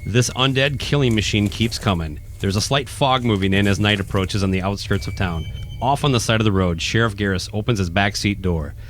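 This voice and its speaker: A man's voice: fairly low pitch, brisk, fairly bright tone, a little hiss or hum. A man reading scripted narration for a horror radio play. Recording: faint music behind the voice.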